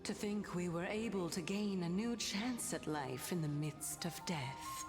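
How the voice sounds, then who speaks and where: A woman voicing a serene hidden goddess, low and slow. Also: in a large hall, natural voice. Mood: calm, knowing. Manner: calm, gracious, measured. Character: ancient goddess in human disguise, serene, secretive. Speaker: game character. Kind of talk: video game voice acting. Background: game music.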